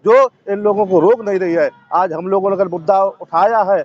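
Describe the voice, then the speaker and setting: Guy, high voice, protester answering questions forcefully, repeats himself for emphasis, muffled field recording. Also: normal speed. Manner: assertive, emphatic, repeating phrases. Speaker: protester interviewed at a sit-in. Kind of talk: impassioned political and religious interview answers.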